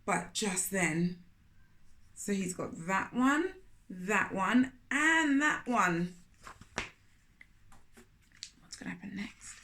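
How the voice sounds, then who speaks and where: Bright, expressive woman storyteller. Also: medium pitch, extremely slow, long pauses. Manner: expressive, questioning, talks directly to young listeners. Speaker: storyteller reading a children's book aloud. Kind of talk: animated children's read-aloud with asides to listeners.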